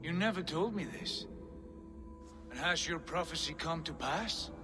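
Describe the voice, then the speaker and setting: An actor playing a stern Norse chieftain who speaks in a grave, measured, formal way, with music behind. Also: male voice, a little high, lively intonation. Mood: solemn. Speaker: older brother and settlement leader.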